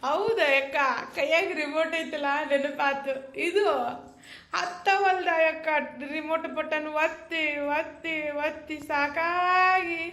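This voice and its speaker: A sulky, whiny younger brother, acted by a woman with a North Karnataka accent. The voice is very high and bright. It rings out in a roomy, echoey space. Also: fairly even intonation, takes it slowly, full character voice. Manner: whiny, threatens to leave home. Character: petulant young man Rikki, stubborn younger brother. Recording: roomy echo.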